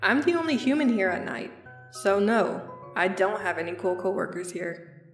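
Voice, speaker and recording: A woman playing a curt female night guard with a sardonic, dismissive edge. She talks at mid pitch in a comic-dub style, in an echoey space with faint music underneath. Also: animated, moderate pace, lightly acted. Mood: defensive, blunt.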